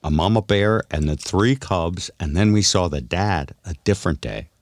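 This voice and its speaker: A man's voice: sits low, moderate pace, no echo, studio quality. A middle-aged man hosting a radio show in casual, joking banter.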